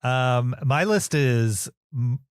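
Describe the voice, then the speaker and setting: A man's voice: medium pitch, animated, drawn-out words, smooth, no room sound, studio quality. A man co-hosting a film podcast, explaining things casually in a hesitant, roundabout way.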